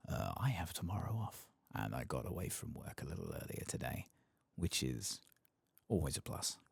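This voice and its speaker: A man giving soft-spoken ASMR game commentary, murmuring and often slipping into whispers close to the microphone. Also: very deep voice, very animated, normal speed, gravelly, husky, no echo.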